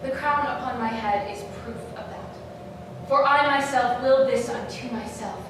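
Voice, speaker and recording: A woman acts out a haunted, power-hungry female king in a staged Shakespeare soliloquy. The high voice moves with slow, dramatic deliberation. It is heard from a distance in a very echoey space, with clear background noise. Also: natural voice. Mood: defiant, brooding. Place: live staged reading in echoey room. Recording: echoey room, distant mic, noisy.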